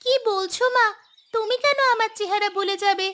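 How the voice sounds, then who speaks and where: A woman's voice: extremely high pitch, fairly even intonation, normal speed, pushed and bright. A woman playing an apologetic young village daughter in a cartoon, speaking in a pleading, childlike way. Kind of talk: Bengali cartoon voice acting.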